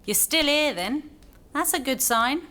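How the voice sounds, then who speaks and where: Woman playing a cheeky, animated village carter. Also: sits high, unhurried, heavily acted. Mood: jaunty, teasing. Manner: jaunty, teasing. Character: cheeky, flirtatious village carter, breezy and teasing. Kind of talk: audio drama acting.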